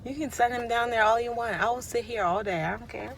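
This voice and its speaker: A woman's voice: on the high side, fast talker, gravelly, slight background noise. A woman with a Jamaican accent chatting to her viewers as a mom vlogger, teasing and sassy as she tells her stories. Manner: sassy, teasing, animated storyteller. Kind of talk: casual chatty vlog.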